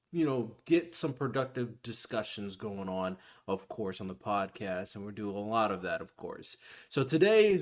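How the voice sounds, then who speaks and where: A man hosting a podcast, talking in a conversational interviewing style. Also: lowish voice. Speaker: podcast host.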